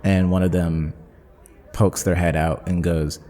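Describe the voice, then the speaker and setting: Deep, slow-speaking male game master. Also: flat delivery, mellow. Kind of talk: narrating a tabletop actual play podcast. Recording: long reverb, clear background noise.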